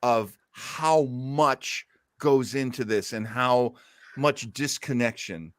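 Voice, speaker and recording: A man chatting casually and telling stories in an animated, emphatic way. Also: medium pitch, slow pace, no echo. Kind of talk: casual chat about pro wrestling.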